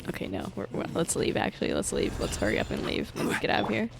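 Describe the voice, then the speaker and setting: A young woman gaming streamer giving casual commentary from home, giggling, swearing a lot and breaking into loud startled outbursts. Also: a bass voice, wildly swooping pitch, fast, creaky, background noise. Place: home room. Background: game music.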